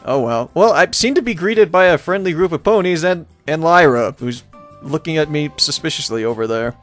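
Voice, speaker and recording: A man's voice: fairly high pitch, lively intonation, normal speed, fairly bright tone. Playful man reading a game over music. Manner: playful, wry asides. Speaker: let's player narrator. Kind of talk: reading visual novel aloud with casual commentary.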